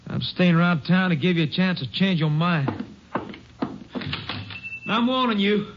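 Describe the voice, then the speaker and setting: A sullen ex-con. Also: male voice, high-pitched, animated, unhurried. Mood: bitter. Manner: curt, sullen, threatening. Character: bitter young male ex-convict, menacing. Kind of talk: 1950s radio drama acting. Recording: muffled vintage radio recording with faint hiss.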